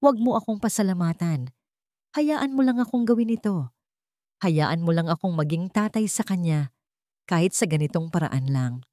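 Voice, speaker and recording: A guilt-ridden grown man pleading to make amends, read by a woman narrator in her own lowish voice. His words come heartfelt and cracked with regret, in a dry, close storybook reading with no room sound. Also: animated, normal speed, mellow, no echo, close to an everyday voice. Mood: firm. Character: remorseful estranged father, grown man.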